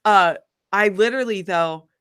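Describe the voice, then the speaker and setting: A woman guest, a singer-songwriter, chatting casually in an interview and acting out quotes in an animated, informal way. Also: medium pitch, moderate pace, smooth.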